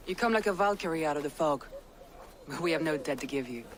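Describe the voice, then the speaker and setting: A woman playing a proud, steely Danish warrior leader in a video game, with a measured, solemn, commanding delivery. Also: low voice, expressive, slight background noise. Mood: guarded, stern. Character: steely, proud female Danish warrior jarl, loyal to her fighters. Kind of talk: dramatic video game acting.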